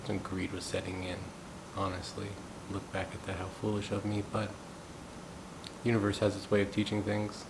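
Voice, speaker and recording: Brief: thirties male, from the US, musing monologue, flat delivery, rumbling noise in the background. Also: vocal fry. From United States. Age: 30s. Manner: thoughtful, unhurried, musing aloud. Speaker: gong practitioner sharing thoughts. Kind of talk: reflective personal monologue.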